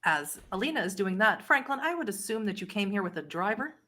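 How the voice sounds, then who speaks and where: A woman's voice: medium pitch, very animated, brisk, pushed and bright. A woman game master narrating a tabletop roleplay game in a composed, descriptive manner.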